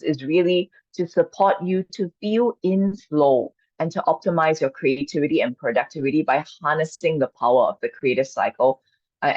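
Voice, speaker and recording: Gentle woman on a dull video call. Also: low-pitched, no room sound. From Singapore. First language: Chinese. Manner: gentle, inviting, reassuring. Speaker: mindfulness and qigong coach. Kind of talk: guiding an online workshop.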